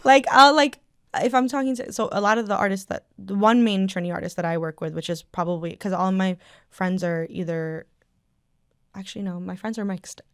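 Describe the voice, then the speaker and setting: A woman's voice: mid-range voice, a little fast, fairly bright tone, clear, resonant tone, no room sound. Chatty American woman hosting a studio talk. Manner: rambling, self-correcting, chatty. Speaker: podcast host. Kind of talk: casual chat.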